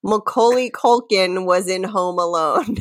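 A woman's voice: mid-range voice, measured pace. A woman co-hosting a comedy podcast, mixing playful dramatic readings with casual banter and often breaking into giggles. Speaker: podcast co-host.

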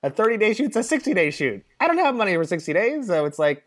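A man who is a film producer, a guest on a podcast, rambling casually with quick asides and swearing. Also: high-pitched, big pitch swings, a bit bright.

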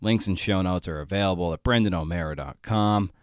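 A man's voice: deep voice, fairly quick, no room sound, clean studio sound. A man hosting a podcast in a casual, wry way, moving between scripted introduction and interview questions. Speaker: podcast host.

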